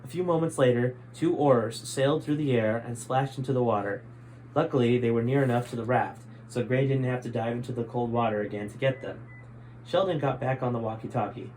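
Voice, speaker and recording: A man's voice: medium pitch, fairly quick, a little mellow, slight background noise, poor audio. A man reading his own book aloud as scripted story narration.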